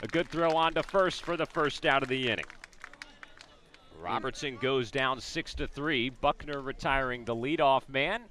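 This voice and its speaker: A man with an American accent calling a baseball game as a radio play-by-play announcer, describing each pitch and the setting. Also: normal speed, sharp tone. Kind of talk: live sports commentary, descriptive radio play-by-play.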